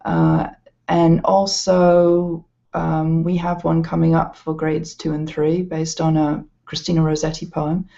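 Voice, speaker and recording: A woman's voice: sits low, warm tone. A woman giving an informal, hesitant walkthrough as an educational presenter. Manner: hesitant, halting delivery. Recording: slightly dull, lo-fi sound.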